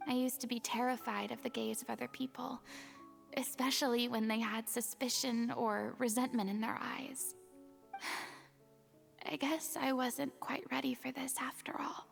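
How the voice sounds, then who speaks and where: A woman's voice: on the high side, fairly even intonation, a little slow. An actress playing a lonely, haunted young woman who was once a stand-in god in an anime game, speaking in a formal, wistful, confessional way. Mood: wounded, uneasy. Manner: confessional, formal, wistful, breathy at phrase ends. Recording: music behind. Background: game music.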